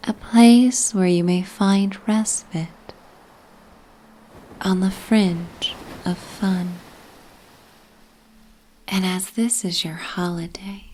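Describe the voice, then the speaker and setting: A woman narrating a bedtime sleep story in a soft, breathy, half-whispered, soothing ASMR manner. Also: mid-range voice, a little hiss or hum.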